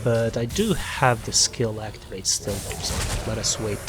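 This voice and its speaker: A man's voice: on the low side, measured pace, noisy background. A man casually explaining a video game build as he plays, improvising and correcting himself as he goes. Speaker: gamer presenting a character build guide. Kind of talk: casual gameplay guide commentary.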